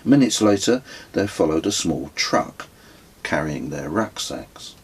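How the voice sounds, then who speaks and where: A middle-aged man narrates a written memoir in a deep voice with a husky grain. He takes his time, telling the story in a measured, literary way, as an author reading his own audiobook. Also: animated.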